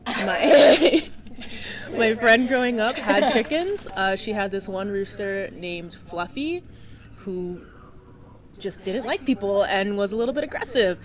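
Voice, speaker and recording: A woman's voice: medium pitch, animated, slow pace. Woman podcast host, crowd behind. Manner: friendly, asks questions, tells anecdotes. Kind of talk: casual podcast conversation.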